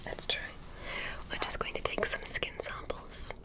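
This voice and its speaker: A woman voicing a curious alien examiner who tests a human, speaking in a soft, clinical ASMR whisper. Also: a little slow, natural voice. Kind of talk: ASMR medical roleplay.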